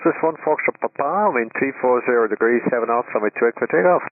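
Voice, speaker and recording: A man working as an air traffic controller, giving brisk, procedural clipped instructions over a radio. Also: mid-range voice, animated. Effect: radio transmission. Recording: tinny narrowband aviation radio.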